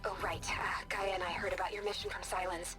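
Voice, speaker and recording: An actress playing a gentle, awkward, caring young woman in a video game, with an earnest, hesitant manner. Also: medium pitch, little pitch movement, brisk, music in the background, lightly acted. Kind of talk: video game cutscene dialogue.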